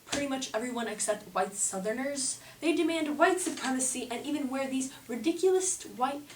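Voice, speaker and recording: A woman's voice: high-pitched, animated, fairly quick. A woman playing a flighty, flirtatious, slangy 1920s news anchor in an amateur mock newscast. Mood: mock serious. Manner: theatrical, slangy. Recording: echoey room, distant mic, faint noise.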